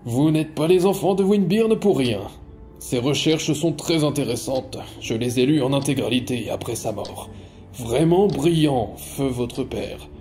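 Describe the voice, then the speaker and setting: A man acting a polite yet menacing magistrate in an audio drama, speaking deliberately with hesitant pauses. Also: medium pitch, dark tone, faint music behind, close to an everyday voice. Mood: smug. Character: polite, menacing male magistrate, pious servant of time.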